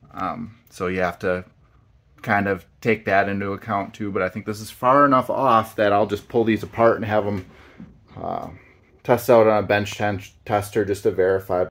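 A middle-aged man giving a casual, halting how-to narration, thinking aloud as he works with his hands. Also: on the low side, fairly even intonation, a bit bright. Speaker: hobbyist mechanic demonstrating a repair. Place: home garage workshop, indoors.

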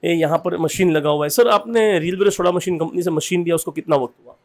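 Brisk male company presenter, high and quick, upbeat tour-guide energy in a small work room. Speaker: company representative host. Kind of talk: on-site customer review video. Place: small bottling room and shop.

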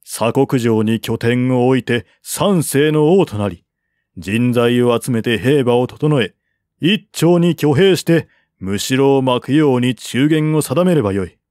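A man voicing a shrewd, calm military strategist of ancient China in an audiobook, formal and reasoned. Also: medium pitch, a little slow, dry recording, clean studio sound, close to an everyday voice. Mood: confident persuasion.

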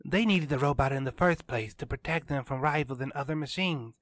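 A man's voice: medium pitch, brisk, fairly bright tone, no room sound, voice pushed up, natural voice. A man voicing an earnest, eager teenage boy in a story, insistent and sure of himself. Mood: earnest, certain.

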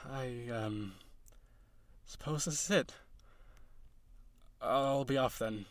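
A man's voice: mid-range voice, no echo, natural voice. A man acting an earnest, lovelorn harpy, dry close sound. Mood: wistful, calm. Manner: halting, stammering, pleading. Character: lovelorn young male harpy, earnest, insecure, tender. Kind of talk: intimate audio roleplay acting.

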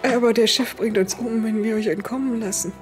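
A woman voicing an intimidated henchman, halting and uncertain, in a tabletop game. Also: on the high side, steady tone, faint music behind, natural voice. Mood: nervous, hesitant. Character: intimidated, hesitant henchman pleading with a Jedi.

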